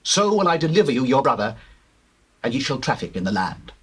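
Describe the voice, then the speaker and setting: An actor playing an earnest brother in a dramatized scripture, declaiming in deliberate, formal phrases. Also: male voice, mid-range voice, very animated, a bit bright, breathy edge. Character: earnest brother reporting to his father. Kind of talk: dramatized scripture, theatrical acting.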